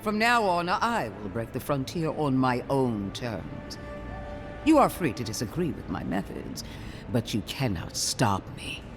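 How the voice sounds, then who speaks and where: Severe fantasy game inquisitor: highly animated pitch, theatrical, contemptuous, commanding, music behind. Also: male voice, a little slow. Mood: defiant. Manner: imperious, cutting, theatrical. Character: scornful, zealous imperial inquisitor, imperious and severe. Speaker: voice actor. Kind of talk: dramatic fantasy game dialogue.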